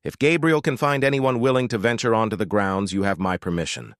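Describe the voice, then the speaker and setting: A British man voicing a reclusive, gentle baron who speaks in a quiet, measured, wry and resigned way. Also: fairly low pitch, no echo, clean studio sound. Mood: grave resignation.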